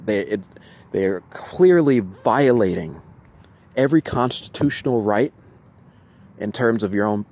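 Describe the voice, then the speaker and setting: A man venting in an unscripted video monologue, halting and repeating himself, with insistent emphasis. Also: lowish voice, expressive, darkish tone. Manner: halting, repetitive, with restarted phrases. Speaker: vlogger recounting personal experiences.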